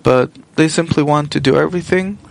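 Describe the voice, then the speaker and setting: A man interpreting a spiritual lesson live into English, speaking in measured, halting phrases with pauses mid-sentence. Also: on the high side, vocal fry. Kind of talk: simultaneous interpretation from another language.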